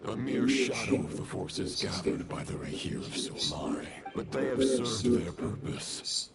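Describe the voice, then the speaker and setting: A man voicing a grave, chivalrous, vengeful dark knight villain in a video game, formal and declamatory. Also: mid-range voice, animated, measured pace, gravelly. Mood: disdainful. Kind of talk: solemn video game voice acting. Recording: loud battle ambience noise behind.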